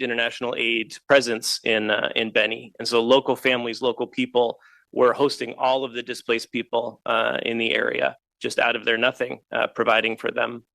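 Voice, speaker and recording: A man from Kenya, speaking as a panelist on a video call, telling his story with frequent pauses and "uh" fillers. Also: medium pitch, pushed and bright, no echo, low-quality recording. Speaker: panelist, founder of an energy company. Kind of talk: casual panel talk.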